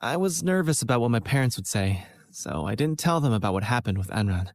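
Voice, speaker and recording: An actor playing an earnest, self-doubting young college student who narrates his own story in a scripted animated game film. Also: mid-range voice, brisk, dry recording, close to an everyday voice. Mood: reflective, downcast. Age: young adult. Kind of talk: scripted first-person narration.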